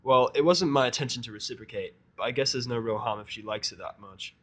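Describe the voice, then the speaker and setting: Sardonic male narrator with medium pitch. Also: fast talker, sharp tone. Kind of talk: first-person fan fiction narration, sardonic.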